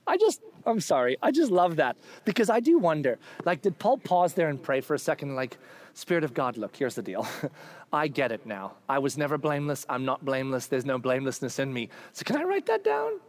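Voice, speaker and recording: A man preaching a sermon, animated and humorous, acting out quoted figures. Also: on the high side, a little fast. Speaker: pastor.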